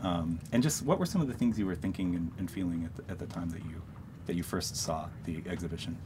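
A man hosting a podcast, introducing and interviewing in a measured way. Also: low voice, background noise. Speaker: podcast host.

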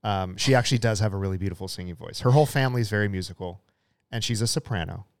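A man's voice: on the low side, racing, smooth, dry recording, studio quality. A man co-hosting a casual podcast chat, teasing and joking with his co-host.

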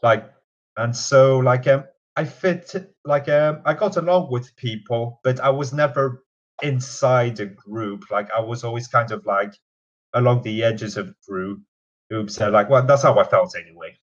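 A man's voice: medium pitch, a little slow. A young man in his twenties from Canada with a mixed Canadian and British accent, talking hesitantly with many fillers in an interview. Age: 20s. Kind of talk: casual interview. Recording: dull lo-fi sound.

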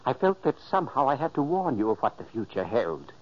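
A man's voice: medium pitch, sing-song, brisk, darkish tone. An actor playing the ghost of a dead older man, narrating earnestly and pleading to warn his brother, in an old radio play. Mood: solemn. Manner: urgent, pleading. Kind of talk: 1940s radio acting. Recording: dull old radio recording.

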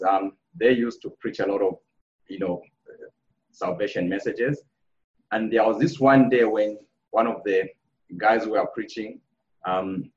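A man from Zambia gives his testimony over a video call, his low voice dulled by the lo-fi audio. He speaks in a measured, reflective way and pauses to hesitate. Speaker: congregation member, panelist. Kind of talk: personal testimony.

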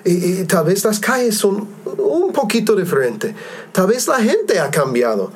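A man hosting a discussion among missionaries, calmly explaining ideas and asking his guests questions. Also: sits high, measured pace, breathy edge. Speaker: podcast host, missionary. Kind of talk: hosted discussion.

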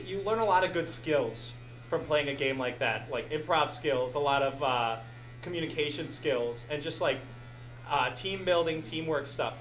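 A man's voice: on the high side, a bit bright, a little hiss or hum. Laughing young man in echoey room. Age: young adult. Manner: rambling, laughing, self-deprecating. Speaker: podcast host answering audience questions. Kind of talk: casual live Q&A.

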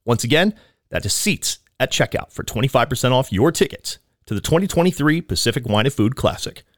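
Raspy podcast host with a head cold. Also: male voice, medium pitch, lively intonation, fairly quick. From Southern California. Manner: chatty, loose, frequent ums. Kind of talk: casual podcast intro and ad reads.

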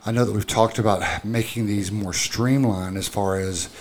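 A man's voice: on the low side, normal speed, slight background noise. A man co-hosting a podcast, talking bluntly and sarcastically in casual chat on a studio mic. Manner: sarcastic, blunt, swears freely. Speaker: podcast co-host.

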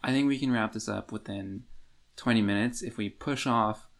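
Gravelly-voiced man chatting about books. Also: on the low side, normal speed. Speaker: podcast co-host. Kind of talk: casual book discussion.

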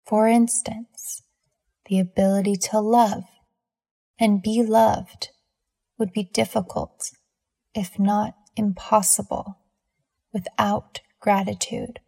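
A woman leading a scripted guided meditation in a measured, soothing manner with deliberate pauses between phrases. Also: medium pitch, little pitch movement, vocal fry, warm tone, slightly rough.

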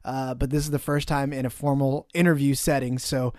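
Middle-aged male podcast host, relaxed and friendly, dry studio sound. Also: mid-range voice, a little fast, no room sound. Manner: relaxed, friendly, filler-heavy. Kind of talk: casual podcast chat.

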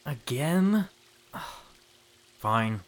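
Man acting reluctant, excitable sidekick cop. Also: mid-range voice, very slow. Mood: grumbling. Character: reluctant, excitable sidekick animal cop, age thirty-five. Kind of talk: comic audio drama acting.

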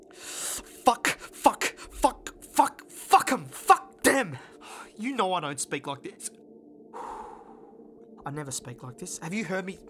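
A man's voice: fairly high pitch, fairly bright tone. Guy playing bitter sacked office man in a drama, worked up, voice goes all over the place, bit noisy recording. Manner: volatile, emphatic, often profane, repeats phrases. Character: distraught sacked male office worker, bitter, proud, devoted partner. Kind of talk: dramatic stage-play dialogue. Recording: noticeable background noise.

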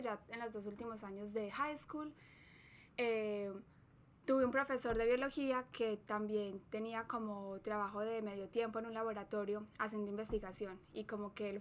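A woman from talking as a guest scientist in an unhurried, friendly way. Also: medium pitch, a little hiss or hum. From Colombia. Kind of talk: relaxed interview chat.

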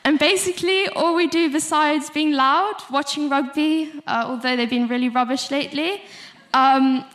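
A woman's voice: high voice, moderate pace, a bit bright. A young woman with a South African accent giving a prepared sermon with informal asides. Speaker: speaker giving a short church talk.

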